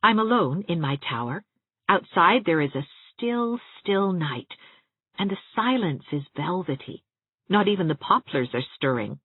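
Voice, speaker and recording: A woman's voice: fairly low pitch, lively intonation, a little slow, husky, no room sound. A woman narrating an audiobook, reading scripted story prose expressively. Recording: narrow, phone-like audiobook sound.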